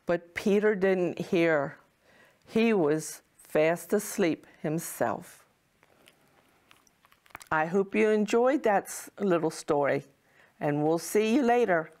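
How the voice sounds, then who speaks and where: A woman reading a children's storybook as a kindly Mrs. Claus, in a deliberate, expressive way. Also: lowish voice. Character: Mrs. Claus, kindly motherly wife of Santa.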